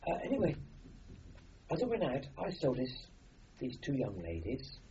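A man's voice: medium pitch, wildly swooping pitch, normal speed, warm tone, slight background noise. An older man from Austria, a native German speaker, telling a rambling oral-history story as an interviewee, with many fillers and restarts. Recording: dull lo-fi recording.

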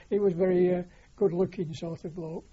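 An older man with a Lancashire accent, reminiscing as a war veteran in an interview, pausing often on fillers. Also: high voice, steady tone, husky. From Lancashire, England. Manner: hesitant, many filler pauses. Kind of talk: oral history reminiscence. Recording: dull lo-fi recording.

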